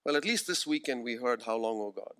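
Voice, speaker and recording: A man in his forties preaching a sermon, earnest and emphatic, slowing down to repeat key phrases. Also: medium pitch, animated, fairly bright tone, smooth. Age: 40s.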